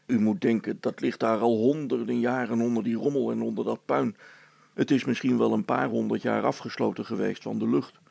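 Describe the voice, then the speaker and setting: Man playing storybook handyman dad, gravelly, husky, plain-spoken, hearty, cautious. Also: mid-range voice, fairly even intonation, brisk, darkish tone, no echo. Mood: hearty, matter-of-fact. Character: practical, cautious middle-aged father and handyman.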